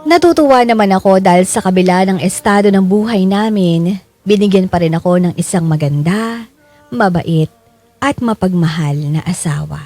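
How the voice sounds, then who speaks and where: Woman voicing humble hopeful parent telling their story, reflective radio drama narration, soft music bed. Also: mid-range voice, natural voice. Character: humble, hopeful, grateful poor parent narrating family life.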